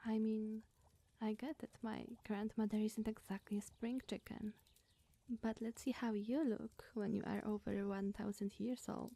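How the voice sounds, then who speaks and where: Soft, breathy, slow-talking young witch acted by a woman. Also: mid-range voice, level tone, no room sound. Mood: sheepish, confessing. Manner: coy, flustered, rambling with musing hums. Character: sweet, bashful, scatterbrained young female witch. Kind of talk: ASMR roleplay, soft and partly whispered.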